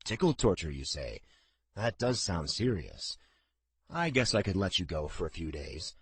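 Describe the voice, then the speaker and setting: A steady middle-aged father from a storybook, straightforward and measured, with a low voice, as a male narrator plays him. The sound is close and dry. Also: breathy edge, dry recording, heavily acted. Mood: wry.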